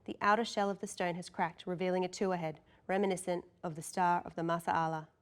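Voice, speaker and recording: A woman with a lowish voice delivers scripted narration. She reads out scene headings and action lines as the narrator of a dramatic table read. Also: flat delivery, brisk, clean tone. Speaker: narrator reading stage directions.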